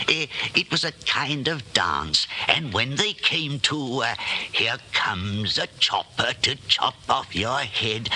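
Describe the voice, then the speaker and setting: Bright-voiced, rambling elderly shopkeeper. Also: male voice, medium pitch, full character voice. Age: older. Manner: rambling, hesitant, deferential, reminiscing. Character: genial elderly widowed antique shopkeeper, wistful and chatty. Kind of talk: 1940s radio acting. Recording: old 1940s radio recording, faint noise.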